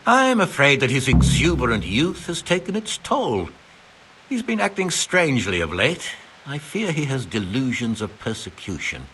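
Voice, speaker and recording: A man's voice: medium pitch, big pitch swings, moderate pace, background noise, lightly acted. An actor playing a learned older master engraver in a video game, wordy and dryly sarcastic about his apprentice. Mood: wry. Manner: verbose, dryly sarcastic, ornate phrasing. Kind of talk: video game dialogue acting.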